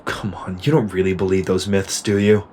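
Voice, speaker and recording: Man playing an earnest, lowish-voiced soldier. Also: flat delivery, moderate pace, darkish tone, slight background noise, lightly acted. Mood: evasive, joking. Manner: casual, plain-spoken. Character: earnest, troubled male soldier, reluctant squad leader. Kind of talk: sci-fi audio drama acting.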